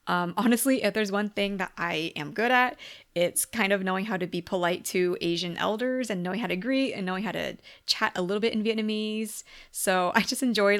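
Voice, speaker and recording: Chatty young woman, filler-heavy, mid-pitched. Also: a bit bright. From United States. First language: English. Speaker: tarot YouTuber. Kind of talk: casual sit-down video diary. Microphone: camera mic at a sit-down chat.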